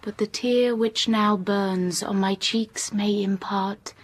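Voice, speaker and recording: Prim, husky young schoolmistress. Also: female voice, medium pitch, steady tone, takes it slowly. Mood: hushed, intense. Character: timid yet steely young Englishwoman schoolteacher, narrating her own story. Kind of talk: period radio drama acting and narration.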